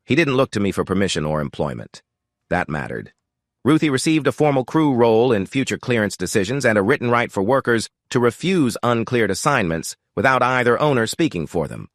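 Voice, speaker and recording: Sober man with lively intonation. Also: medium pitch, brisk, dry recording, clean studio sound. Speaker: narrator. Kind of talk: scripted first-person narration.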